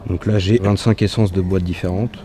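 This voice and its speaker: A man's voice: low-pitched, fairly even intonation, moderate pace, mellow. A man aged twenty-one from the Paris region, a young apprentice craftsman giving informal interview answers. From Paris region, France. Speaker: apprentice marquetry craftsman, award winner. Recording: music playing behind the voice. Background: background music.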